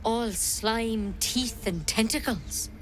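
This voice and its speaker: An actress playing a practical woman druid healer in a video game, with a brisk, plain-spoken bedside manner. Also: on the high side, slight background noise, low-quality recording. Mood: probing.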